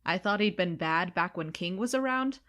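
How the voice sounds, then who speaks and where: A woman's voice: fairly low pitch, expressive, moderate pace, fairly bright tone. A woman playing a sarcastic, irreverent young recruit in a sci-fi comedy audio drama, casual and flippant. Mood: wry. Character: snarky, irreverent young woman, reluctant space recruit and narrator. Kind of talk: comedy sci-fi audio drama, first-person narration and dialogue.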